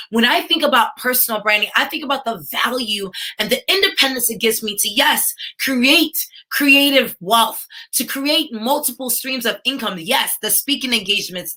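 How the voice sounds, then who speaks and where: Bright energetic New York woman speaker. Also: a little high. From United States. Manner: energetic, addresses online audience directly. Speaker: keynote speaker. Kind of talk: virtual conference keynote.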